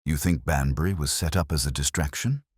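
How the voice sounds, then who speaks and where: A man's voice: rumbling low, brisk, slightly rough, no echo, studio quality. A man voicing a puzzled police sergeant sidekick in an audio mystery story, speaking in a questioning, tentative way.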